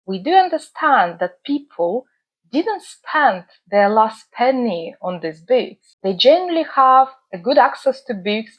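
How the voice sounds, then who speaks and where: A woman's voice: fairly high pitch, big pitch swings. Earnest woman, slightly dull sound. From Russia. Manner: earnest and measured, with frequent "uh" pauses. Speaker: book channel host. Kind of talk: reflective talk to camera. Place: home in the UK.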